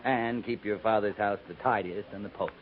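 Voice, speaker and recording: An actor playing a bashful, plain-spoken cavalry captain in a 1950s radio western. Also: male voice, on the low side, fairly even intonation, lightly acted. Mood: gently teasing. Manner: halting, bashful, plain frontier speech. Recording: narrow-band vintage 1950s radio recording.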